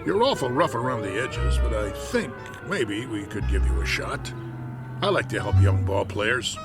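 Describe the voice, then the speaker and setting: An actor playing a gruff, shrewd baseball manager with an American accent and a sarcastic, slangy, blustery delivery in a radio drama. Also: male voice, on the low side, lively intonation, moderate pace. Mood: shrewd, patronizing. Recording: music behind the voice.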